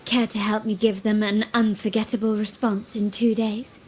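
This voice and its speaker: A woman's voice: medium pitch, flat delivery, darkish tone, lightly acted, low-quality recording. Woman acting poised, calculating young heiress, faint noise. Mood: calm, scheming. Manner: composed, deliberate, eloquent. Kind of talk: video game voice acting.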